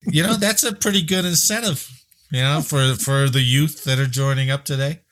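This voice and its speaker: A man's voice: mid-range voice, moderate pace. A man co-hosting a casual podcast, reading out headlines and joking, laughing often. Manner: laughs often, animated delivery. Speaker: podcast co-host.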